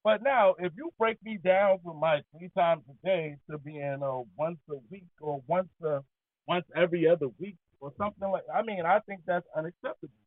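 High-voiced insistent man, tinny audio. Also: moderate pace, dry recording. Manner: insistent, slangy, argumentative. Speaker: talk show co-host. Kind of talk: casual debating banter. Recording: tinny, phone-like band-limited audio.

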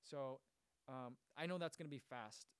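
A man's voice: medium pitch, normal speed, smooth, no room sound. A man hosting a running channel, casually chatting and reading viewer comments aloud, rambling with lots of fillers and restarts. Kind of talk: casual livestream chat.

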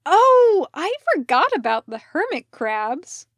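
A woman's voice: high-pitched, very animated, slow, fairly bright tone, no room sound, clean studio sound, voice pushed up, heavily acted. A woman voicing a quirky, eager, mischievously affectionate young woman in a story, with childlike delivery. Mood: alarmed. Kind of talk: storybook character voice.

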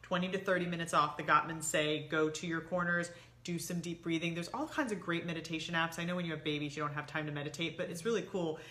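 A middle-aged woman with a lowish, bright and forceful voice gives relationship advice as a co-host. She talks conversationally, explaining each point and slipping into sample phrases to illustrate it. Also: steady tone, quick pace.

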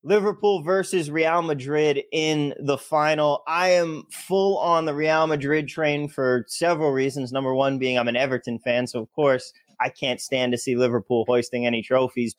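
A man's voice: fairly high pitch, dry recording. An American man hosting a betting podcast, upbeat and a bit stumbling. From United States. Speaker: podcast host. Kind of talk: podcast interview.

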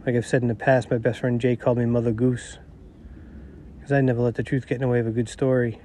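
A middle-aged man with a nasal voice hosting a podcast on his own, rambling and self-deprecating, talking quietly outdoors. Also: mid-range voice, little pitch movement, fast talker, slight background noise. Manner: quiet, not projecting. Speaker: podcast host. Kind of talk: casual solo monologue.

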